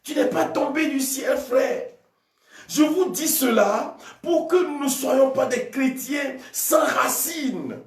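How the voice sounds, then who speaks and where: A man from Togo, preaching a charismatic sermon as a priest and often shouting with drawn-out emphatic phrases. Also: extremely high pitch, lively intonation, creaky. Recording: echoey room, voice from a distance.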